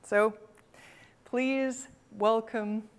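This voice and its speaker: A woman's voice: a little high, steady tone, drawn-out words, smooth. A woman from Northern Canada with a Canadian accent, hosting a business event and giving a scripted keynote with storytelling and the odd repeated word. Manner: storytelling, uses forest imagery, occasional stammered repeats. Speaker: event host and keynote speaker.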